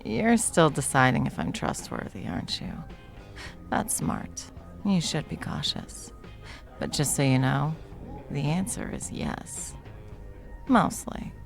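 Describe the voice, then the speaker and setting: A woman playing a confident, flirtatious, protective bartender in an intimate ASMR roleplay, teasing and unhurried. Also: sits low, creaky, full character voice. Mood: softly inviting. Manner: teasing, unhurried, soft chuckles, dropping toward whispers. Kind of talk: ASMR roleplay, intimate one-sided conversation.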